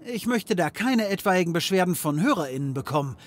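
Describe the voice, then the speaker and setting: An actor playing a flippant, sarcastic private detective in a comedy audio drama, joking and theatrical. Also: male voice, sits high, animated, a little fast. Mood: playful.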